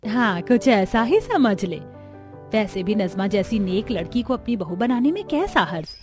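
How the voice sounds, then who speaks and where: A woman voicing a kind, wealthy middle-aged mother-in-law in a cartoon story, speaking gently and with assurance. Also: mid-range voice, very animated, moderate pace, soft background music, close to an everyday voice. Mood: fond, thoughtful.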